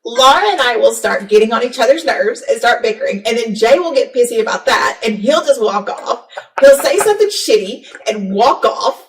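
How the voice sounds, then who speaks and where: A woman's voice: fairly high pitch, animated, moderate pace. A woman, talking frankly and casually as a podcast host. She sits back from the mic, so her voice comes through distant. The room around her has a noticeable echo. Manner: frank, candid, swears casually. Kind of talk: casual chat.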